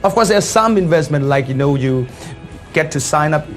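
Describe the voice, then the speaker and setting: A man's voice: a little high, animated, normal speed. A man from Thailand with a Thai accent speaks as a business leader giving an emphatic, repetitive motivational presentation full of rhetorical questions. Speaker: network marketing leader and speaker. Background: background music.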